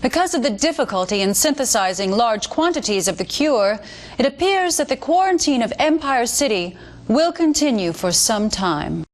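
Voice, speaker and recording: An actress playing an earnest American TV news anchor in a video game, reading scripted bulletins in a formal, measured, authoritative way. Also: female voice, on the high side, very animated. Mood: grave, formal. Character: polished female TV news anchor, earnest government mouthpiece. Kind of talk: scripted news broadcast narration.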